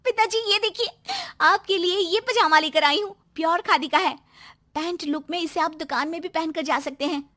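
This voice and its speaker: A woman's voice: very high-pitched, moderate pace, sharp tone, voice pushed up. A woman voicing a sweet, timid young daughter-in-law eager to please, in a cartoon story. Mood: cheerful. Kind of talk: cartoon moral-story voice acting.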